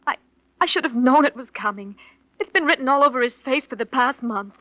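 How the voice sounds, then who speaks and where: An actress playing a distraught, apologetic professor's wife in a 1940s radio drama, halting, breathless and earnest. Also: female voice, sits high, animated, no echo. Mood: apologetic, distressed. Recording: muffled vintage 1940s radio recording.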